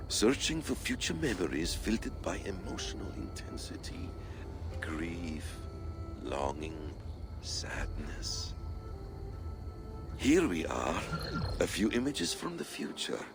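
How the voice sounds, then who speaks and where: Brainy musing game narrator, deep creaky voice, swoops around a lot, wry and deliberate, music under it. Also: male voice, sing-song, full character voice. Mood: intrigued, musing. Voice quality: gravelly. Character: cerebral, curious male observer narrating from afar, wryly detached. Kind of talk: dramatic video game narration. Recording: music behind the voice.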